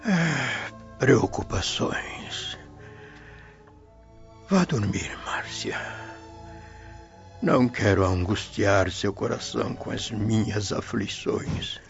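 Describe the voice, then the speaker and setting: A man playing a gravelly, worried old Roman father. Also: fairly low pitch, expressive, drawn-out words, pauses often, full character voice. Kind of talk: radio drama acting. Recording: muffled audio with background music.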